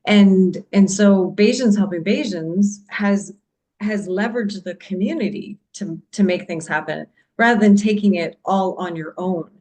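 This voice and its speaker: A woman from Canada guides a panel discussion and sums up what the speakers have said. She encourages them and often restarts her sentences. Her voice sits at a middle pitch. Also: unhurried, a little mellow. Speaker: panel moderator.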